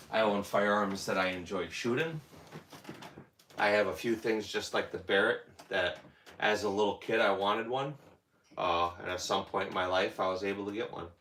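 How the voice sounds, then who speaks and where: Deep guy chatting w his stream viewers, kinda bright tone, roomy echo. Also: a little hiss or hum. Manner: rambling, talks back to chat comments. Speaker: livestream host. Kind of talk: casual livestream chat with viewers.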